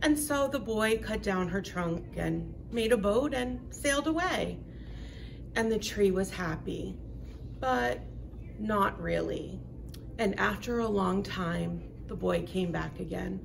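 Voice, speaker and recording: A woman's voice: medium pitch, expressive, slow pace, creaky, slight background noise, rough recording. A woman from an elementary school staff reading a children's storybook aloud.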